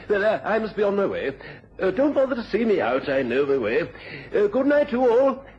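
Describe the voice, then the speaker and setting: An actor playing a genteel but stern country squire in a radio drama, courteous and hesitant, with polite hems. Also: male voice, sits high, husky, heavily acted. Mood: courteous, hearty. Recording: dull, noisy old radio recording.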